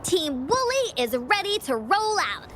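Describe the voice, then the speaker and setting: A woman's voice: very high voice, very animated, measured pace, fairly bright tone, slight background noise, heavily acted. A woman voicing a quirky, confident little girl in a video game, with playful, childlike pep. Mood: playful, eager. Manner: speaks of self in third person, childlike pep. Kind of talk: video game character acting.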